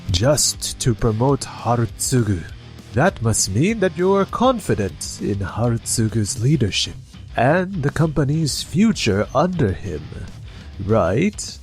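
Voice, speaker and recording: A man playing a stern, dignified elderly company chairman in an anime-style manga dub, measured and authoritative. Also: mid-range voice.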